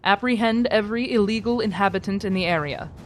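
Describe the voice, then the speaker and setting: A woman's voice: mid-range voice, quick pace, soft background music, close to an everyday voice. A woman playing a cold, ruthless female cyborg commander with formal, clipped, robotic pronouncements in an audio drama. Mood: cold, commanding. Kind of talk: audio drama villain acting.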